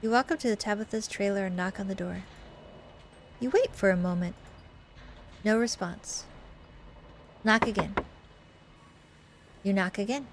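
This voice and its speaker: A woman's voice: mid-range voice, unhurried, long pauses, a little hiss or hum. A woman narrating a visual novel playthrough as a game streamer, reading aloud with chatty, casual commentary.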